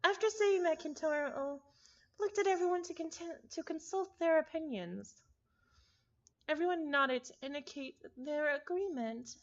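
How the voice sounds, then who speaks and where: High-voiced woman reading a story aloud. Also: normal speed, lo-fi sound. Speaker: streamer reading a visual novel aloud. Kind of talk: expressive narration with casual asides.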